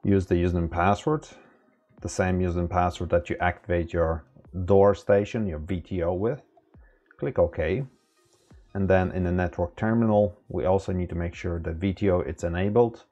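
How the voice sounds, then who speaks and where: A man in his thirties giving a relaxed step-by-step tech tutorial with lots of fillers, recorded at a desk indoors. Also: sits low, normal speed, dull audio, faint music behind. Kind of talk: casual screen-recorded tutorial. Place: small room indoors.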